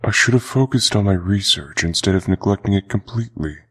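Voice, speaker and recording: Woman as a husky, low male skeleton scientist. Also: animated, a bit bright, heavily acted. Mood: polite, subdued. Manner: measured, subdued, formal. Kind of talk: fan comic dub acting.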